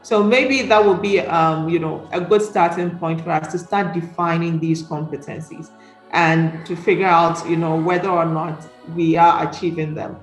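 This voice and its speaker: A woman's voice: on the low side, fairly even intonation, normal speed, faint music behind. A woman moderating a panel discussion, reflective and questioning, often checking in with the listeners for agreement. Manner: reflective, questioning, seeking agreement with tags like "right?". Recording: roomy echo.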